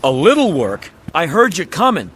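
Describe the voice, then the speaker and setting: An actor in a radio drama playing a fast-talking, folksy used-car salesman who gets flustered and bluntly honest. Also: fairly high pitch, wildly swooping pitch, fairly bright tone, slight background noise. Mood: blunt, exasperated. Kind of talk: old-time radio drama acting.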